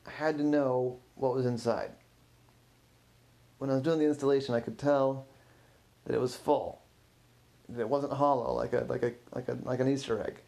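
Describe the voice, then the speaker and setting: A man's voice: medium pitch, fairly even intonation, normal speed, vocal fry, a little mellow, natural voice. A man voicing a tired, defensive and guilty museum technician in a story, mumbling and stammering. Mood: guilty, pleading.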